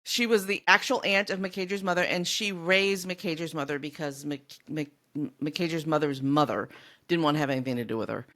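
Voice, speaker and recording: A woman's voice: low-pitched, a little fast, a bit bright. A woman co-hosting a book podcast, discussing a story in a casual, conversational way. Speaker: podcast co-host.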